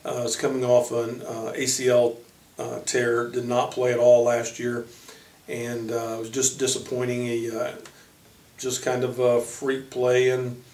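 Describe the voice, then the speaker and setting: A man speaking as a college basketball coach, introducing players informally in a halting way with frequent pauses. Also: medium pitch, completely flat delivery, darkish tone. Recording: echoey room, distant microphone.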